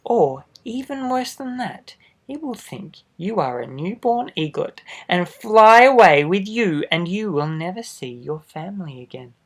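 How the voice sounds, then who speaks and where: A man reading a children's storybook aloud expressively, adding gentle asides for young listeners. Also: sits high, sing-song, a little slow. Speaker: storybook reader. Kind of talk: children's picture book read-aloud.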